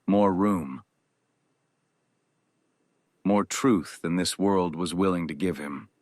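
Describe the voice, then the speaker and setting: An actor playing a grieving, restrained uncle who gives a solemn, measured funeral speech in an audio drama. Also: male voice, sits low, a little mellow, no echo. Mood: solemn grief.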